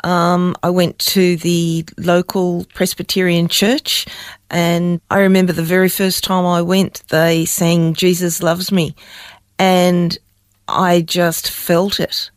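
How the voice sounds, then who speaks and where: A woman's voice: on the low side, level tone, slow pace, no echo, studio quality. Older Australian woman, hesitant speech. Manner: hesitant, with frequent ums and uhs. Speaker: interview guest. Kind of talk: personal testimony in conversation.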